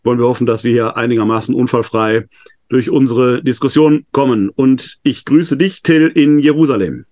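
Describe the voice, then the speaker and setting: A man's voice: medium pitch, moderate pace, darkish tone. A man with a slight cold hosting a live webinar discussion, chatty and full of hesitations. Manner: chatty, many filler hesitations. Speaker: host, New Testament scholar.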